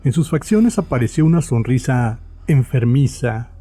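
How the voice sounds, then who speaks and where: Very dark, mellow-voiced male story narrator. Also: mid-range voice, expressive, moderate pace, husky. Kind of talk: scripted narration of a horror story.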